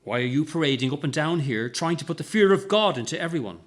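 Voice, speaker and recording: A man voicing a belligerent, stressed elderly woman shopper in a story, scolding in a shrill, growling voice. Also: animated, fast, natural voice. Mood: indignant scolding. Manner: scolding, accusing.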